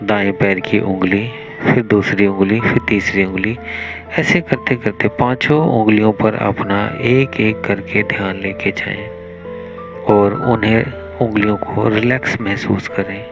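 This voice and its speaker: Meditation guide, male, Indian accent. Low register, very slow delivery with long pauses, over music. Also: steady tone. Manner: measured, instructional, with long pauses between short phrases.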